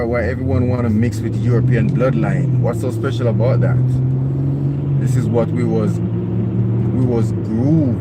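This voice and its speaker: A man vlogging live, speaking earnestly and with emphasis, often ending points with "right?" or "okay?". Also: medium pitch. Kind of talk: live-streamed monologue. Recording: loud outdoor traffic noise.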